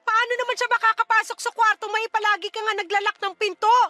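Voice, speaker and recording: A woman's voice: very high voice, level tone, quick pace, bright, forceful tone. A woman playing a callous, scornful mother in a radio drama, scolding and sarcastic as she sides with her lover. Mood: scornful, accusing. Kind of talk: Tagalog radio drama acting. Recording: dramatic music under the dialogue.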